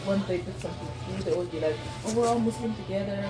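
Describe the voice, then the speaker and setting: A woman's voice: mid-range voice, racing, over music, rough recording. A woman from Kenya, a guest in a casual conversation, speaking bluntly and outspokenly.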